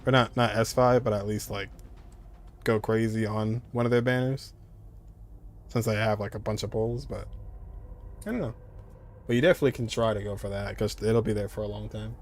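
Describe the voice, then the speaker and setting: Casual, low-pitched male game streamer. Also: fairly even intonation, moderate pace, long pauses, slight background noise. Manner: rambling, repeats phrases, reads and answers chat. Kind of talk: casual live stream chat and game commentary.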